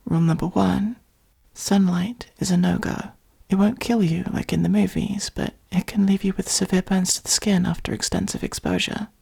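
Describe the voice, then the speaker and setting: Woman as a caring vampire, very breathy whisper, lowish pitch, patient, reassuring, comforting ASMR roleplay. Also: expressive, normal speed, a little mellow, heavily acted. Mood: patient explaining. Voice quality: breathy, creaky. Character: gentle, protective adult vampire caring for a fledgling.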